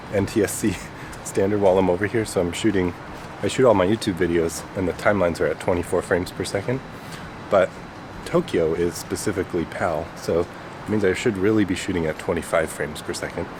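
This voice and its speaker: A man's voice: sits low, fairly quick, noisy background. A young man from the Chicago area with a stuffed-up, nasal voice from a cold, chatting casually as he walks through the city. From Chicago area, USA. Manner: relaxed, chatty, talks while walking. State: congested from a cold. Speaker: vlogger. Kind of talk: casual vlog chat. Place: outdoors on city streets. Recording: with music playing underneath.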